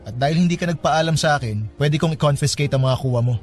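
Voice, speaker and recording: Casting: actor for curt, superior young Filipino male law student, slightly high voice, radio drama. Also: fast, faint music behind, lightly acted. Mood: stern, curt. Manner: curt, formal, code-switching. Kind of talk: Tagalog radio drama acting.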